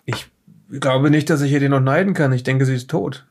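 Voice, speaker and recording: A man acting as an evasive male witness in an audio drama, his voice creaky with fry. He talks casually and haltingly, with a slightly defensive edge. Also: mid-range voice, a little mellow, natural voice. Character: evasive male witness recounting a mysterious investigation. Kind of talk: improvised audio drama, conversational testimony.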